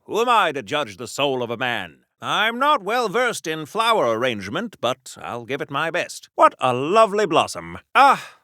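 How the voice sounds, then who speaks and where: An actor voicing a chipper, naive old-fashioned superhero for a video game, with theatrical, politely oblivious, mock-formal delivery in a 1940s radio style. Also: male voice, high-pitched, bright, forceful tone, no echo, studio quality, exaggerated voice. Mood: breezy, quizzical, then wistful, musing.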